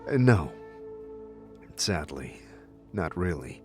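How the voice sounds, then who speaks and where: A man playing a reserved, scarred immortal wanderer in an audio drama, speaking haltingly and plainly. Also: low voice, big pitch swings, a little mellow, faint music behind, full character voice. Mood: hesitant, reserved. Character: hesitant, heavily scarred immortal male wanderer. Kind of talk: audio drama character acting.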